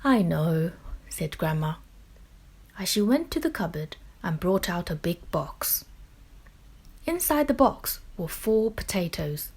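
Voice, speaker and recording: A woman reading a children's picture book aloud in expressive, deliberate narration with dramatic phrasing. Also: on the low side, breathy edge. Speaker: children's story reader.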